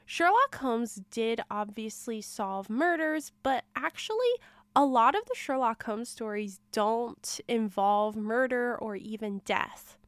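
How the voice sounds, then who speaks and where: A woman hosting a book review show in a chatty, enthusiastic way, her voice a little rough from a cold. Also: a little high, takes it slowly, no room sound. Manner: chatty, enthusiastic, self-deprecating asides. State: slightly rough voice from a cold and allergies. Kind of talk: casual book talk.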